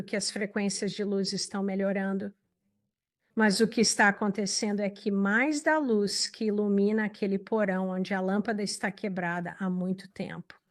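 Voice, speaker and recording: Earnest Brazilian woman in a dubbed interview. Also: mid-range voice. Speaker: spiritual teacher and guest.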